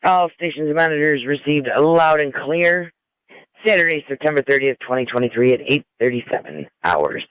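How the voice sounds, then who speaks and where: An American man reads out formal dispatch announcements over a two-way radio. His voice sits a bit high for a man and is clean and crisp, with a dry, close sound. He keeps to procedure and radio phrasing. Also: animated, normal speed, fairly bright tone, no room sound, poor audio. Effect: two-way radio transmission. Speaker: fire control dispatcher.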